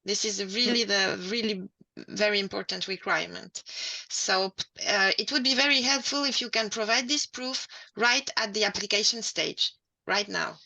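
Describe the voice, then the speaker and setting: A woman hosting an online information session, giving applicants clear, direct instructions and listing what to send. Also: medium pitch, normal speed, pushed and bright, low-quality recording. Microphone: video call.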